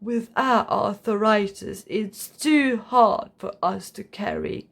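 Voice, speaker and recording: Elderly male giraffe for a storybook, slow-spoken, patient and proud female narrator performing. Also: mid-range voice, gravelly. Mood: weary, kindly.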